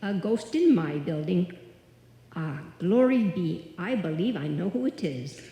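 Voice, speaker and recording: A woman's voice: lowish voice, lively intonation, measured pace, creaky, mellow. A woman playing a bossy older Irish widow and landlady in live old-time radio comedy, with a dramatic, crooning delivery. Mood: awed. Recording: echoey hall, live stage performance.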